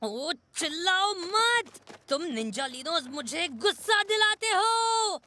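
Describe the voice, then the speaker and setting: Bratty high-pitched boy villain. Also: very animated, takes it slowly, a little hiss or hum, full character voice. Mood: indignant, bossy. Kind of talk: animated children's cartoon dub. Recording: loud music behind the voice.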